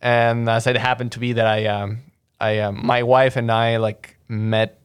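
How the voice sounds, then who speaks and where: A man's voice: medium pitch, level tone, measured pace, smooth. A young man from Mexico, a guest in a casual interview, telling his story in a rambling way full of fillers and restarts. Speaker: guest, audio engineer.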